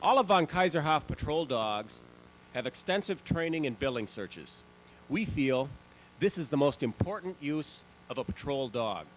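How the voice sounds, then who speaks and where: Guy reading a training promo script, measured and instructional, kinda high voice, bit of old vhs tape noise. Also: big pitch swings, poor audio. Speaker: narrator of a promotional video. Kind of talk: scripted narration.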